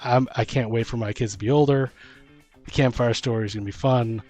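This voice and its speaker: A man hosts a relaxed chat about tabletop gaming over faint background music. He talks at a middling pitch and rambles as he thinks his ideas through out loud. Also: a little fast. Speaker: podcast host. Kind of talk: casual discussion of tabletop role-playing games.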